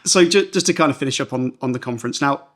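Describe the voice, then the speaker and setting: A man's voice: mid-range voice, fast talker, fairly bright tone. A man co-hosting a podcast as a journalist, telling anecdotes casually in a hesitant, self-correcting way. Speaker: podcast co-host and political journalist.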